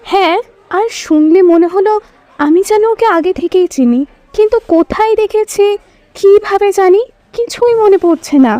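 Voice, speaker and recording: A woman's voice: very high-pitched, a little slow, warm tone, no room sound. An actress playing an anxious, confused young woman in an audio drama, uneasy and questioning. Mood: troubled. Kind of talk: audio story drama acting.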